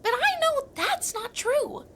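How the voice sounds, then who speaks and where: A woman's voice: extremely high pitch, big pitch swings, slow, a bit bright, a little hiss or hum, exaggerated voice. A woman playing a timid, bookish young male mountain troll, hesitant and earnest, in a family audio drama. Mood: earnest, wistful.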